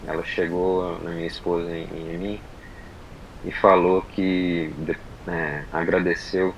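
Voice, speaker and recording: A man from Brazil telling a personal story on a podcast, in unhurried, halting casual chat full of fillers and restarts. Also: low-pitched, flat delivery, highs cut off, a little hiss or hum. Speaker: podcast guest.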